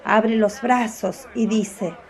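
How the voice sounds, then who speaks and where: A woman narrating a radio drama in a calm, measured storytelling manner. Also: fairly high pitch, a faint crowd.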